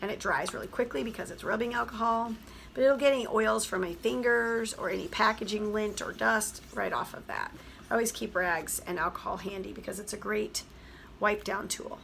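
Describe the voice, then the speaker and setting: Hoarse woman teacher, slightly gravelly, chatty step-by-step craft demo. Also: mid-range voice, moderate pace, a bit bright, slight background noise. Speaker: craft tutorial host. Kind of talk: craft tutorial voice-over.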